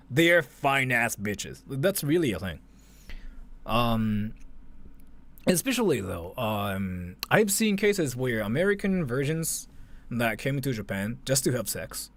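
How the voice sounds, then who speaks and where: A low-pitched man hosting a livestream in a loose, conversational way. His intonation swings widely as he rambles through off-the-cuff reactions, dropping "like" into his sentences often. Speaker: livestream host reacting to a video. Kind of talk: casual off-the-cuff reaction commentary.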